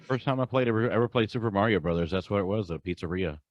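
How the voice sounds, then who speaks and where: A man's voice: fairly low pitch, quick pace, dry recording, highs cut off. A man co-hosting a podcast, chatting casually in an informal, playful manner. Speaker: podcast co-host. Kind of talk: casual chat.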